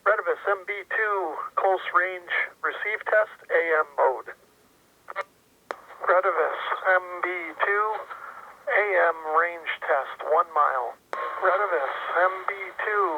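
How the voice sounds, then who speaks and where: A man making deliberate test announcements, heard through a muffled CB radio transmission. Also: sits high, expressive, husky. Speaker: radio tester.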